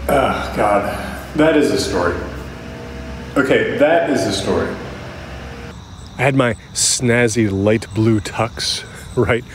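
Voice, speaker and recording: An actor playing a middle-aged American man who recalls his youth candidly, in a halting, conversational, self-deprecating way, as if interviewed for an audio drama. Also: mid-range voice, a little hiss or hum, natural voice. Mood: candid, rueful. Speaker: interview subject. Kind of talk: naturalistic audio drama acting as interview reminiscence.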